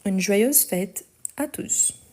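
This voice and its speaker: A woman teaching a live online French lesson, speaking slowly and carefully, repeating each sentence and spelling out the verb endings. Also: mid-range voice, animated, darkish tone.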